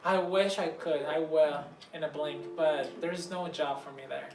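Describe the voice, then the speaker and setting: A man's voice: a little high, fairly even intonation, measured pace. Puerto Rican man, distant and roomy. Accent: Puerto Rican Spanish. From Puerto Rico. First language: Spanish. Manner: thoughtful, searching for English words. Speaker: interviewee. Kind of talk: casual interview answers. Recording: roomy, distant mic, background noise.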